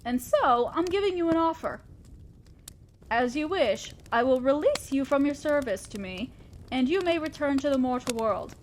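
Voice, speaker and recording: A woman's voice: high-pitched, animated, moderate pace, a little hiss or hum. A woman playing a fair-minded but ambitious demon lord boss in a scripted audio drama, speaking formally and directly to an underling, with a sly teasing streak. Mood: earnest, solemn.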